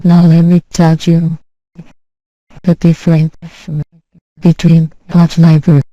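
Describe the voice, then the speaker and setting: A reviewer's voice turned into a woman's by an AI voice changer, casually demonstrating software, with lo-fi sound. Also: mid-range voice, completely flat delivery, slow pace, dry recording. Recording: dull, lo-fi sound.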